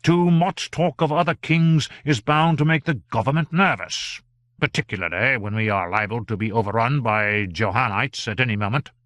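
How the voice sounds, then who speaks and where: A man reading an audiobook, voicing an earnest male government gentleman who speaks emphatically and exclaims in surprise. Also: medium pitch, moderate pace, no echo, studio quality, lightly acted.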